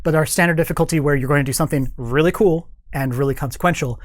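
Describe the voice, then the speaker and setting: A man's voice: on the high side, fast, no echo. A man speaking as a guest developer in a studio interview, explaining details informally and acting out imagined players' remarks.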